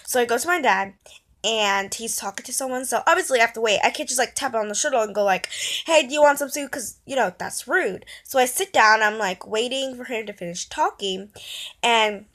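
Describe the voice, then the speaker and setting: High-voiced young girl storytelling. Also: brisk. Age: child or young teen. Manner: animated, dramatic storytelling with mimicked reactions. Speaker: vlogger. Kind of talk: casual storytime vlog chat. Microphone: phone at arm's length.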